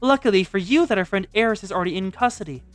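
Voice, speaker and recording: A woman's voice: mid-range voice, expressive, very fast, faint music behind, lightly acted. Woman acting a stern student council girl. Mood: smug. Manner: reproachful, formal.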